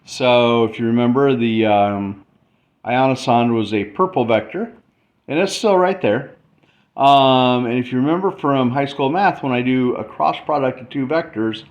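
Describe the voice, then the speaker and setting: A man giving an informal tutorial walkthrough, thinking aloud as he explains a demonstration. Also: medium pitch. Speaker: explainer presenting a math and radio demo. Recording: dull lo-fi mic with faint background noise.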